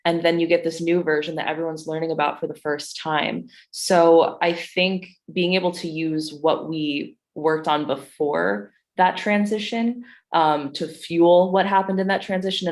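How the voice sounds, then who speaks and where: Low-voiced woman talking casually. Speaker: actor in a staged reading. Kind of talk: casual post-show Q&A. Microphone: video call.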